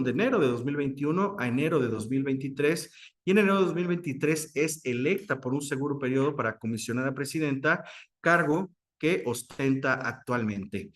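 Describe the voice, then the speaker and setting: A man with a Mexican Spanish accent hosting a formal interview, reading an introduction and addressing his guest with ceremonious courtesy. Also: medium pitch. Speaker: interview host.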